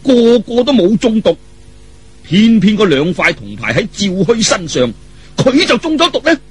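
A man's voice: sits high, very animated, normal speed, husky, no room sound, voice pushed up, full character voice. A man with a Cantonese accent voicing an angry, breathless Taoist priest in an audiobook, huffy, blustering and accusatory. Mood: huffy, blaming.

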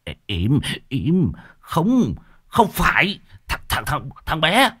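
A man's voice: medium pitch, very animated, moderate pace, pushed and bright. A man voicing an effeminate, petulant male spirit medium in an audio story, flustered, stammering and indignant.

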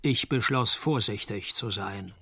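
An actor playing a hard-boiled, laconic private detective, delivering deadpan noir radio play narration and dry wit. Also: male voice, lowish voice, unhurried, vocal fry, faint music behind, heavily acted. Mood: dry, wry. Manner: deadpan, wry.

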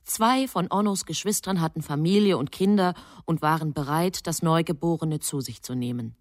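A woman narrating a German radio drama in a sober, measured, literary manner. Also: deep voice, no room sound, studio quality, close to an everyday voice.

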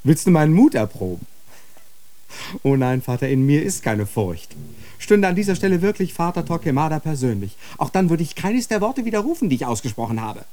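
An actor playing a tormented young friar in a radio drama, intense and anguished. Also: male voice, a little high, very animated, fairly quick, warm tone, dry recording. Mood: defiant.

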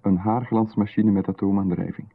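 A man with a Flemish accent playing a smooth-talking, insistent visitor in a radio play, with a husky voice and a polite manner, explaining at length in technical jargon. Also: fairly low pitch, fairly even intonation, normal speed. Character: smooth-talking male visitor, middle-aged, insistent and eerie. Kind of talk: 1990s radio drama. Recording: phone-like radio recording.

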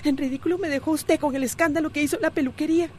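A woman acting as a wronged Costa Rican housewife in a radio drama. Her voice is fairly high yet mellow, reproachful and wounded, with faint noise behind it. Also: steady tone, rapid-fire, lightly acted. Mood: defiant, bitter. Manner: reproachful, wounded, formal in address. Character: wronged, hurt housewife standing up to her husband. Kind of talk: radio soap opera acting.

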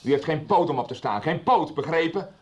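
A man's voice: on the high side, animated, a little fast, darkish tone, close to an everyday voice. An actor playing a defiant man resisting arrest, indignant and threatening in a radio soap. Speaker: accused neo-Nazi suspect. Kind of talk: 1990s radio soap acting.

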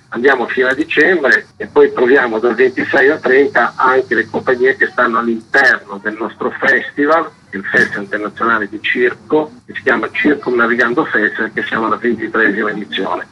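A man with a husky voice, a theatre's artistic director, explaining a programme in an interview. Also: mid-range voice, pushed and bright. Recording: dull lo-fi radio interview audio.

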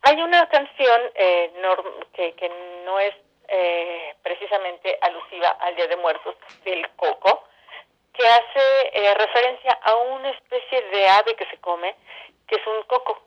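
A woman's voice: mid-range voice, measured pace. A woman with a central Mexican accent explaining things expressively as an interview guest, over a dull phone connection. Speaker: interview guest, theatre company director.

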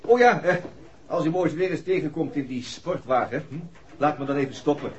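An actor playing an evasive doctor's secretary in a 1960s radio drama, hesitant and uneasy behind a forced breeziness. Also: male voice, fairly high pitch, a little fast, lightly acted. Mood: flustered, evasive. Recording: dull, muffled 1960s radio recording.

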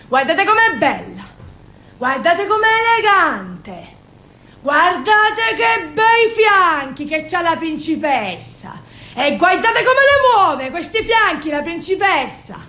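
A woman's voice: sharp tone, exaggerated voice. An actress playing a brash, dreamy young Sicilian woman, poor and yearning, in an emotional theatrical monologue that swings between confiding and exclaiming. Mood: mock grand, proud. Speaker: stage actress. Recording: roomy sound, faint low rumble.

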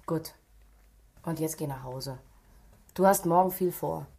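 An actress in a German radio drama playing a stern police superior who gives cutting, sarcastic orders. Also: female voice, sits low, unhurried, gravelly, mellow, slightly rough, exaggerated voice. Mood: cold sarcasm.

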